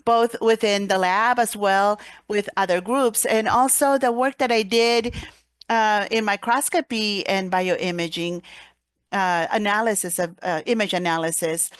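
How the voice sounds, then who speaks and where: A woman gives a live interpretation of a scientific presentation, catching up with the speaker in halting bursts full of fillers and self-corrections. Her voice is smooth and clean, at a middling pitch, recorded dry and close with no room sound. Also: no echo. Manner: halting, frequent fillers and restarts. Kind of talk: simultaneous interpretation of a scientific talk.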